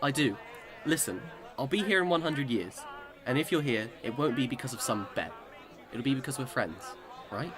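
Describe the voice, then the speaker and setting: Guy playing an immortal english chap in a radio play, boastful and dramatic, mid pitch, music under it. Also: animated, normal speed, a crowd in the background, close to an everyday voice. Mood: earnest. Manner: theatrical, exclamatory old-time speech. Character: boastful immortal Englishman, swinging between cheer and despair.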